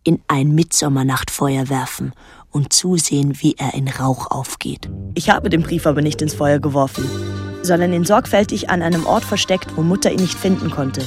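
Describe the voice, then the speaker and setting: A woman's voice: low-pitched, normal speed. An actress playing a guarded, introspective eighteen-year-old island girl who tells her story in a literary radio drama. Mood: reflective. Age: young adult. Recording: dry close studio, music underneath. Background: soft music.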